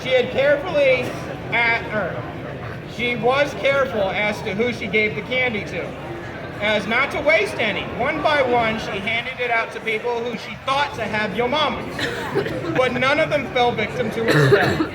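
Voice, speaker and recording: Male comic storyteller, very high-pitched, theatrical and halting, working a live audience in a loud, bustling space. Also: a crowd in the background. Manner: theatrical, halting, heckles own story with asides. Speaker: storyteller leading an audience word game. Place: busy public venue with a crowd. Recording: noisy crowded public space, loud background bustle.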